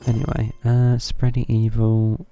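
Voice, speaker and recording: A man's voice: mid-range voice, completely flat delivery, a little slow, vocal fry, dark tone, muffled recording, slight background noise. A man giving casual live game commentary, thinking aloud in a tentative way. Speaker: game streamer. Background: game music.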